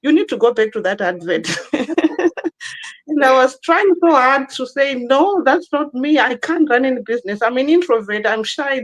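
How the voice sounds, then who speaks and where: A woman's voice: on the high side, poor audio. Earnest woman from Zimbabwe. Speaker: guest. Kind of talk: personal story in an online interview.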